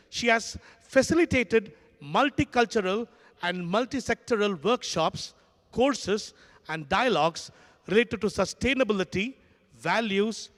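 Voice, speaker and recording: A man's voice: high voice, a bit bright. A man hosting a conference, formally reading out a speaker's introduction. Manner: formal, announcing. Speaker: conference host. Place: large conference hall. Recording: reverberant hall.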